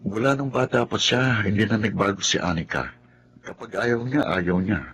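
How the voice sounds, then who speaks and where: A man playing a kind older brother with a very deep, soft-spoken voice and faint noise behind him. He is patient and gentle in a television drama. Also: moderate pace, close to an everyday voice.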